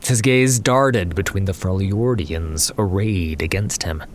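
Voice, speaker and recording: A man narrating a fantasy story as an audio drama, with measured, deliberate, dramatic phrasing. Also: sits low, slight background noise. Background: prominent background music.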